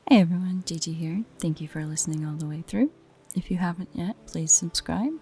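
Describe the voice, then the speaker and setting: Soft, creaky-voiced woman, casual outro. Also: fairly low pitch, fairly quick. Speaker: audio roleplay creator thanking listeners.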